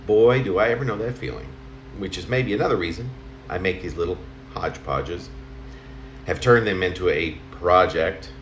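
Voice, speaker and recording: A middle-aged or older man reading his own letter-like poem aloud in a halting, conversational way, correcting himself as he goes. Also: sits low. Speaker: poet reading own work. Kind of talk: spoken poem reading in the form of a personal letter. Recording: roomy home recording, faint noise.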